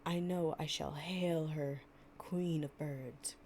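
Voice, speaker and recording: A woman plays a sly fox from a storybook fable. He flatters sweetly and smoothly, taking his time over each phrase. The voice sits low for a woman, with some background noise. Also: slow. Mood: flattering.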